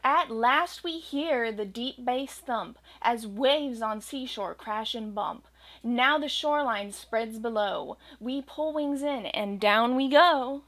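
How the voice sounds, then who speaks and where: Gentle young woman reading a storybook. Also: a little high, slow pace. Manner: gentle, expressive storytelling cadence. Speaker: children's book reader. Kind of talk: reading a rhyming picture book aloud. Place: small home room with bookshelves and green screen.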